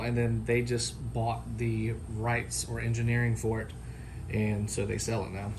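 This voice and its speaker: A man's voice: mid-range voice, a little hiss or hum. A man talking informally and off the cuff, like a product reviewer chatting to viewers in a homemade video. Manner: informal, folksy, unscripted. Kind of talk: casual unboxing and comparison vlog.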